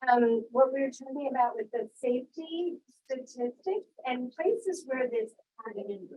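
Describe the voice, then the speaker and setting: A woman's voice: sits high, lively intonation, unhurried, darkish tone, lo-fi sound. A woman speaking earnestly at a town meeting, arguing her point with statistics. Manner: earnest, argumentative, cites statistics. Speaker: resident speaking at public comment. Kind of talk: public comment at a town meeting. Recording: distant voice with faint hiss.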